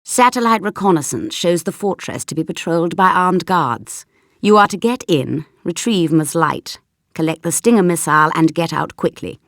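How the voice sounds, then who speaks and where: An authoritative intelligence chief in a video game. Her voice is slightly low with a gravelly creak. She issues orders in a clipped, commanding way. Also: expressive, normal speed, a bit bright, no echo, clean studio sound. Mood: stern, composed. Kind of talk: scripted mission briefing in a video game.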